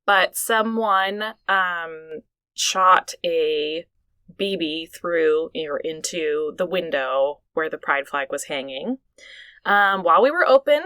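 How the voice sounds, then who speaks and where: Chatty bright-voiced young woman. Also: low voice, slow, clear, resonant tone, no room sound. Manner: laughs often, chatty. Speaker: podcast co-host. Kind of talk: casual chat. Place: small recording booth.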